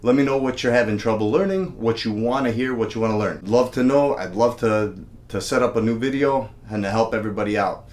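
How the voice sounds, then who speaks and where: A man's voice: mid-range voice, a little fast, darkish tone. A man giving a casual, friendly video lesson on a traditional instrument, counting beats aloud. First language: English. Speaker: music teacher.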